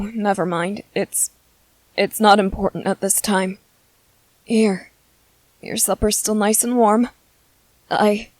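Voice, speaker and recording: A woman voicing a gentle, apologetic young male soldier in an ASMR roleplay, soft-spoken and earnest, with halting pauses. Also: on the high side, no echo. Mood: distressed, sympathetic. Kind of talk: ASMR roleplay audio drama.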